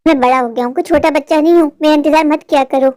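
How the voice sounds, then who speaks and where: A voice actor playing a selfish young man in a cartoon who flatters some people and brushes others off. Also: extremely high pitch, fast, voice pushed down. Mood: dismissive. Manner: flattering, dismissive. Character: selfish young man, smooth-talking suitor.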